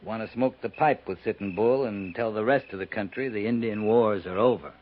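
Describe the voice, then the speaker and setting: A man playing a steady, weary cavalry captain in a 1950s radio western, speaking in a measured, dry, understated way. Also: lowish voice, lightly acted. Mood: dry, resolute. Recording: dull, noisy 1950s radio recording.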